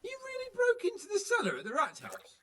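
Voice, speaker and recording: A man's voice: very high-pitched, wildly swooping pitch, fast talker, sharp tone, full character voice. A man playing a cocky young nobleman in a video game, teasing and pompous. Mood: amazed, amused. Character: cocky young nobleman, playful and fond of wine. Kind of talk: video game cutscene acting.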